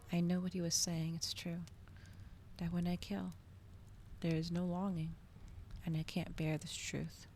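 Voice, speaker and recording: A woman doing a soft, whispered ASMR reading as a streamer, slow and breathy, in a hushed manner. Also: lowish voice, slight background noise. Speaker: streamer host reading aloud.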